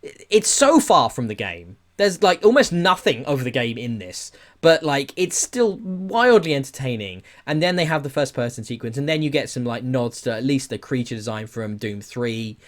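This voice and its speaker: A man's voice: medium pitch, very animated, moderate pace, hardly any pauses. A man co-hosting a podcast, chatting casually about films and games in an enthusiastic, emphatic, sweary way. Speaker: podcast co-host. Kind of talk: casual film and game chat.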